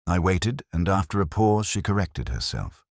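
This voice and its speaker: A man playing a brooding, world-weary police inspector, narrating a case in measured, solemn, literary first person. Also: deep voice, sing-song, no room sound, clean studio sound.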